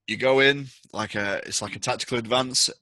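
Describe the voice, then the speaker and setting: A man's voice: medium pitch, brisk, sharp tone, no echo. A man narrating a tabletop roleplaying game as game master, improvising in a conversational way.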